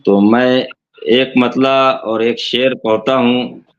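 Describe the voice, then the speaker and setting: A man speaking as a poet on a remote link, reciting couplets and repeating each line, with some halting restarts. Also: medium pitch, steady tone, dull audio.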